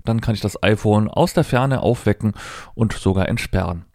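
A man's voice: fairly low pitch, moderate pace, darkish tone, dry recording. Man giving a dry, close-miked demonstration. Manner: informal, thinking aloud step by step. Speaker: presenter demonstrating assistive technology. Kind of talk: hands-on product demonstration, explanatory.

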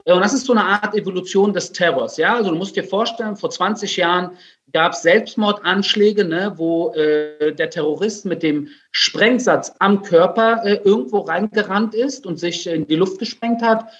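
A man's voice: high-pitched, moderate pace, fairly bright tone, muffled recording. A man in his forties from Germany, explaining things conversationally as an interview guest over a remote connection. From Germany, Berlin. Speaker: interview guest, writer and military spokesman.

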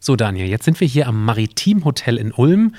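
Guy narrating an audio play, explains stuff to his buddy and listeners, mid-pitched voice. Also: sing-song, fairly quick, loud music behind the voice. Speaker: podcast host and co-creator of an exhibition audio play. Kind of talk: semi-scripted audio play narration and dialogue.

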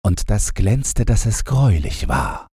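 A man's voice: on the low side, sing-song, slow pace, warm tone, breathy edge, no room sound, clean studio sound. A man reading a scripted fairy tale as an audiobook narrator, in a bedtime storytelling style.

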